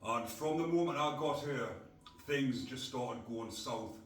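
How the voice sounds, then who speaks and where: A man's voice: medium pitch, measured pace, fairly bright tone, a little hiss or hum. A middle-aged man from North East England, hosting a casual podcast in a blunt, rambling way. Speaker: podcast host. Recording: echoey room, distant mic.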